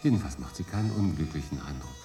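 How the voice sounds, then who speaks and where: An urbane diplomat of thirty-seven in a radio play. His voice is deep and dark, his delivery cool, dry and thoughtful, with faint music behind. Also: animated, fast. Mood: wry, musing. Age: late 30s. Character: cool, urbane English consul, thirty-seven, wryly detached. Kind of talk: German radio drama acting.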